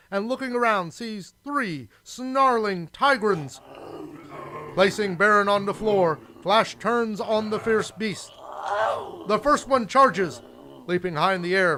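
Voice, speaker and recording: Male narrator for an adventure radio play, high-pitched voice, scripted delivery. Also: bright, forceful tone.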